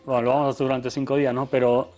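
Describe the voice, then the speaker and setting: A man from Argentina with a softened Argentine accent, speaking as a photographer guest in a conversational interview, thoughtful and full of restarts. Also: mid-range voice, flat delivery, very fast, soft background music. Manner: thoughtful, many restarts and tag questions.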